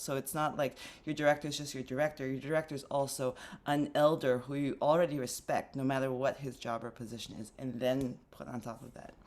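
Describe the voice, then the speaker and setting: Very low-voiced woman, reflective and halting. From Philippines. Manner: reflective, lots of fillers and restarts. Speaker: dancer and artistic director. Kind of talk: casual interview conversation.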